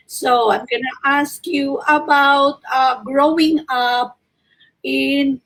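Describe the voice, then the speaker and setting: A Filipino woman hosting an online interview, speaking in a courteous, deliberate, inviting way and mixing Tagalog and English. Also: high-pitched, fairly bright tone, slightly rough, rough recording. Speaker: talk show host.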